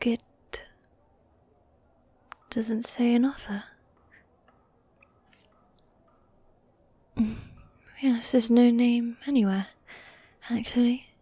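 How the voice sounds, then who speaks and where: A woman voicing a teasing, tender demon girlfriend in a medieval fantasy ASMR roleplay, speaking in soft breathy whispers with formal, old-fashioned phrasing. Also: a little high, no echo. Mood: thoughtful, gentle. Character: gothic demon girlfriend, teasing, tender, dominant yet needy.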